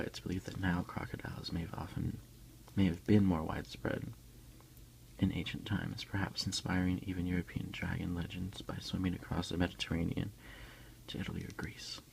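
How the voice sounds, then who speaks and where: A man delivers soft ASMR in a hushed half-whisper, close to the mic. His low voice is heavily creaky, crackling with fry as he reads aloud and comments at an unhurried pace. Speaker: ASMR video creator.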